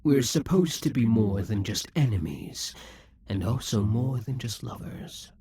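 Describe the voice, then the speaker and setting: A man's voice: medium pitch, expressive, unhurried, warm tone, breathy edge, no echo, heavily acted. A man voicing a possessive, scheming male demon king in a theatrical monologue for an audio roleplay. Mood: brooding. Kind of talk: audio roleplay addressing the listener.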